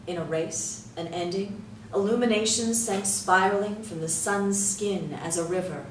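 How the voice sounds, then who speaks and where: A woman reciting poetry dramatically, in a measured, theatrical way with deliberate pauses. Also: fairly low pitch. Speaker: poetry reader. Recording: roomy echo, distant mic, light noise.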